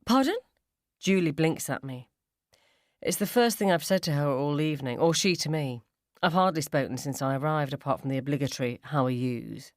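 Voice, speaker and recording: A woman narrating a first-person radio story in a wry, sardonic, expressive way. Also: low-pitched, fairly quick, dry recording, studio quality. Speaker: short story reader.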